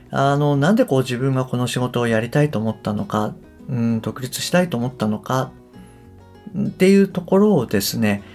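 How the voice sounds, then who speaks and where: Middle aged guy chatting solo on a podcast, pitch goes up and down a lot, thinking out loud, bit of guitar music behind. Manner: reflective, tentative phrasing. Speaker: podcast host and English coach. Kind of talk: casual solo podcast talk.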